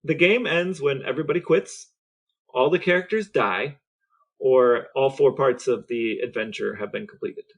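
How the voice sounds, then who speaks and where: A man chatting casually as a podcast co-host, explaining things in a halting, conversational way. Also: mid-range voice, breathy edge.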